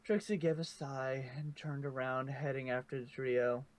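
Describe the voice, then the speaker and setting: Male narrator, mid-pitched, storybook reading style. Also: fairly even intonation, moderate pace. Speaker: narrator of a fan fiction reading. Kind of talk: storybook narration with casual asides.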